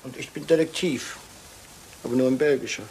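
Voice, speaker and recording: A male actor playing a shrewd, courteous Belgian detective in a German radio crime drama, with measured, probing questions. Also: medium pitch, a little mellow, lightly acted. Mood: polite, insistent. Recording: clearly noisy old radio play recording.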